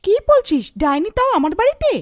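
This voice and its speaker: A woman's voice: very high voice, big pitch swings, a little fast, no echo, studio quality, voice pushed up. A woman playing a kind but easily exasperated village wife and mother in a cartoon story. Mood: disbelieving.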